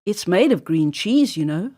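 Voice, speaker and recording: A woman's voice: lowish voice, sing-song, moderate pace, warm tone, voice pushed down. A woman voicing an eager, naive little boy mouse from a storybook, earnest, polite and insistent.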